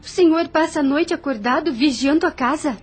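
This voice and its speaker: Audio novel role: young plantation mistress , female actor, Brazilian accent, high voice, earnest, formal and uneasy. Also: brisk, close to an everyday voice. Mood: nervous, alarmed. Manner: earnest, hesitant when uneasy. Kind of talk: audio drama acting.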